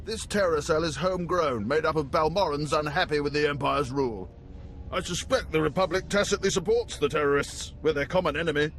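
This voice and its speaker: An older male spymaster for a video game, with a fairly high voice. He is genial, urbane and wry, recorded over faint noise. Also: fairly quick, fairly bright tone. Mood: measured, grave.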